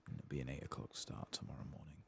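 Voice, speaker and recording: Very deep, gravelly soft-spoken man. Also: expressive, quick pace, a little hiss or hum. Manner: muttered, absent-minded thinking aloud, casual swearing. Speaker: host of a gameplay channel. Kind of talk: soft-spoken ASMR gameplay commentary.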